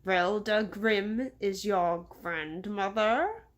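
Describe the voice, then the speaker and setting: A pompous storybook mayor with a British accent, acted out by a woman reader. He drags his words out with sneering condescension and is quick to show irritation. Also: mid-range voice, a bit bright, lo-fi sound. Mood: sneering, menacing. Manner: drawn-out, condescending delivery.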